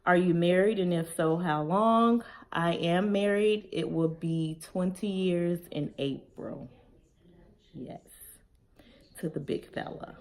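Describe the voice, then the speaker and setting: A woman in her forties with a notably low voice talks through a get-to-know-me tag at home. She reads each question aloud, then answers in a relaxed, chatty way. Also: slow pace. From Chicago, Illinois. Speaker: vlogger. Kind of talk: casual Q&A tag video.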